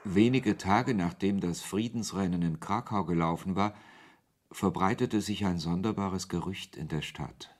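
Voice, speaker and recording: An actor playing a wry author who narrates his story in a measured, literary way in a German radio play. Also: deep voice.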